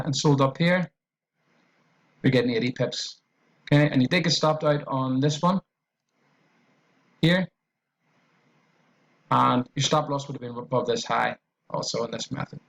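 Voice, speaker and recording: A man with an Irish accent talking through a trading tutorial on screen, in a loose, repetitive way with fillers and restarts. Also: medium pitch. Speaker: trading educator.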